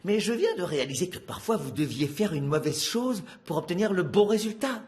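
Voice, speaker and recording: An actor playing a cocky, excitable young cat in a cartoon, bossy, emphatic and persuasive. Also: male voice, high voice, a little fast, husky, heavily acted. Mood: scheming, persuasive. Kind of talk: animated cartoon dub.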